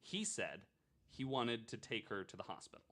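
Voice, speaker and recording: A man's voice: mid-range voice, lively intonation, normal speed, a bit bright. A man hosting a podcast, telling a true crime story in a casual, chatty way with joking asides.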